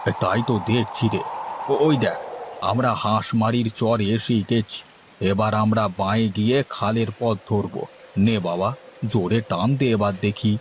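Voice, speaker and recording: Low-voiced, boastful village boatman acted by a man. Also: fairly even intonation, normal speed, lightly acted. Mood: brisk, friendly. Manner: know-it-all, folksy, instructing a junior. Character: seasoned, boastful village boatman and hilsa fisherman, elder-brother figure. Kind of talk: Bengali cartoon story dialogue. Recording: faint background noise and ambience.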